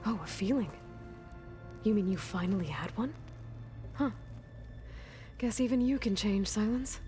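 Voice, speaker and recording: Mellow-voiced, dryly sardonic young warrior woman played by an actress. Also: mid-range voice, expressive, measured pace, soft background music, lightly acted. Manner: dry, sardonic. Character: guarded, determined young woman warrior with dry wit. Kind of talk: video game acting.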